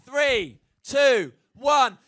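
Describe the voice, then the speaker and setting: A man's voice: very high voice, lively intonation, extremely slow, noisy background. A man hosting a live launch event, upbeat and rallying a crowd of people to join in. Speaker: host. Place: indoor event space with live audience.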